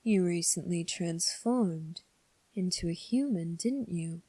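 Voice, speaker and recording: A woman voicing a composed, coolly defiant young fantasy prince in an ASMR roleplay, speaking formally and deliberately with long pauses. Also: on the low side, dark tone, husky, dry recording. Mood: wary, questioning.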